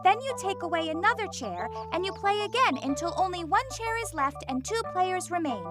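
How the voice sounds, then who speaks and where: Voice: sing-song, a little fast, loud music behind the voice. A performer voicing an eager, friendly child character in a kids' cartoon, explaining things simply in a childlike voice. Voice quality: high, bright, childlike. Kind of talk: animated kids' cartoon dialogue.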